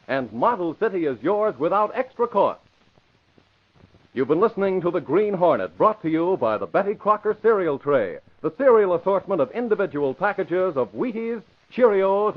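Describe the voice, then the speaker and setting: Old time american radio announcer guy, emphatic, swoops around, muffled recording. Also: high-pitched. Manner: emphatic, declamatory. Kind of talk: 1940s radio announcing, scripted.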